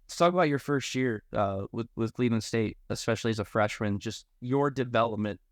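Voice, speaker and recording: A man's voice: medium pitch, brisk, no echo, clean studio sound. A man co-hosting a sports podcast, asking long, conversational, somewhat rambling questions. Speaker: podcast co-host and interviewer.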